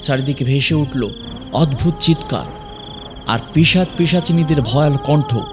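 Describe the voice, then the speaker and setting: A man narrating a scripted horror story in a suspenseful, dramatic way with deliberate pauses. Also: on the high side. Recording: music behind the voice.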